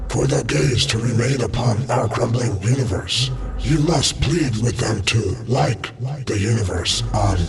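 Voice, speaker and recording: A man's voice: mid-range voice, gravelly. A man playing an ominous otherworldly orb entity in an audio drama, speaking solemnly and portentously in archaic phrases. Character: ominous all-knowing orb entity, grave and cryptic.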